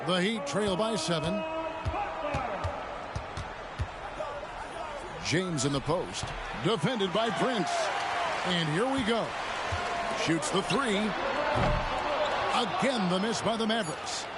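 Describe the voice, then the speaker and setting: A man playing an energetic American TV basketball announcer, calling the game in a polished, punchy broadcast style. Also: sits high, a little slow, long pauses, very noisy. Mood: focused. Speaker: play-by-play commentator.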